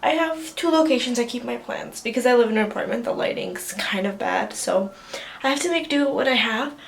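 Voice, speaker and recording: A woman's voice: on the high side, a little fast, creaky. A teenage girl with a slight Armenian Russian accent, chatting casually with lots of fillers while showing viewers around her home. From southern California. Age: teens. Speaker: vlogger. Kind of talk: casual plant tour vlog. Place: apartment room and bathroom, indoors.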